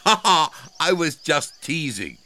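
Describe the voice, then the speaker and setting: Man voicing Elmer, a bright, high, cheerful elephant. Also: huge pitch swings, drawn-out words, full character voice. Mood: teasing, reassuring. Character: Elmer, cheerful teasing elephant leading the herd.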